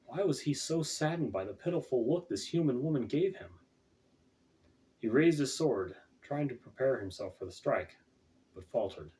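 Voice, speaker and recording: A man's voice: medium pitch, animated, moderate pace, dark tone. A man reading his own fantasy fiction aloud as scripted audiobook narration. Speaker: author reading own book. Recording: distant, off-mic home recording.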